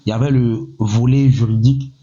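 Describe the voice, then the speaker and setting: A man in his thirties from Côte d'Ivoire, a rapper speaking in a measured, self-assured way, often pausing on "voilà" and asking himself rhetorical questions. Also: medium pitch, fairly even intonation, warm tone. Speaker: rapper, interview guest. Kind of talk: casual interview talk. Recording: slightly muffled, lo-fi sound.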